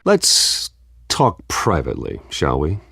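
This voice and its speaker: An actor playing a guarded, stern young billionaire in a video game, speaking in a terse, dry, skeptical way. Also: male voice, rumbling low, wildly swooping pitch, slow pace, creaky, pushed and bright, husky, no echo, full character voice. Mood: cool, firm.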